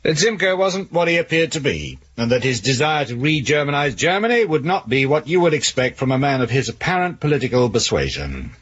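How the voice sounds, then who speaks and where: An actor playing a keen, insistent police detective in a radio drama. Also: male voice, fairly high pitch, big pitch swings, a little fast, hardly any pauses, fairly bright tone, breathy edge, muffled recording, exaggerated voice. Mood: measured.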